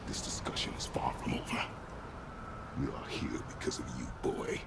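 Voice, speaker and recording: An actor playing a stern, battle-hardened warrior god and father in a video game, speaking in terse, commanding, formal phrases with a deep gravelly voice. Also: moderate pace, whispered, close to an everyday voice. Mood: cold, grave.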